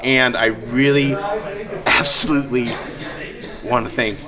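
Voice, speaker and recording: A man speaks to a live room with clear crowd noise around him. He has an ordinary mid-range voice and talks like an enthusiastic host, off the cuff, stumbling into asides as he goes. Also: mid-range voice, steady tone, unhurried. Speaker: host of a live-streamed tabletop roleplaying show. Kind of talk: casual off-the-cuff talk to an audience. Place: live event room at a gaming convention.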